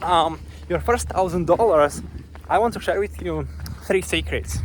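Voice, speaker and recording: A man's voice: on the high side, background noise. A man from Slovakia, vlogging eagerly on an outdoor beach, with many fillers and restarts. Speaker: online business vlogger. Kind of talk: upbeat to-camera vlog pitch.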